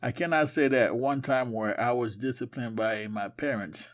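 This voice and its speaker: A man's voice: mid-range voice, normal speed. A man from Haiti co-hosting a podcast, talking casually in a conversational, storytelling way. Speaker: podcast co-host. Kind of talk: casual chat.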